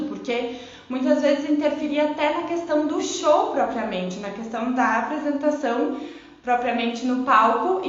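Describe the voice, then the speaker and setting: A woman with a gaúcho accent from southern Brazil, giving an informal, explanatory live-stream lesson as a teacher and often checking in with tag questions. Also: a little high. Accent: southern Brazilian, Rio Grande do Sul (gaúcho). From Rio Grande do Sul, southern Brazil. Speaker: belly dance teacher hosting a live lesson. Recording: very echoey room, dull muffled sound.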